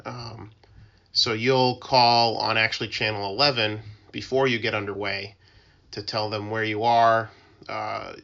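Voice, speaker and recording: Man, flat intonation, bright forceful voice, halting conversational explainer, interview guest, slightly dull recording. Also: lowish voice, vocal fry. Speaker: interview guest, working tugboat mariner.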